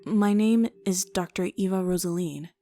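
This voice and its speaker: A woman voicing a calm, methodical female doctor who speaks in a measured, matter-of-fact way. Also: lowish voice, faint music behind, natural voice. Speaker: voice actor in a fan game dub.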